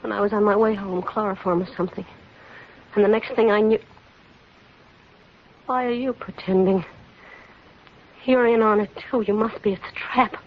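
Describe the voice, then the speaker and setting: A woman's voice: medium pitch, fairly even intonation, moderate pace, pauses often, lightly acted. A woman playing a frightened, distrustful young captive in 1940s radio drama, anxious and pleading. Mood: frightened, suspicious. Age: young adult. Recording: muffled vintage radio recording.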